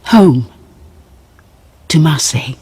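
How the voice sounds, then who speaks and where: A woman acting a dignified, sorrowful middle-aged mother in a radio drama, grave, resigned and resolute, with a husky voice. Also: sits low, animated, slow, darkish tone, a little hiss or hum. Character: dignified, sorrowful mother, an abandoned nobleman's wife.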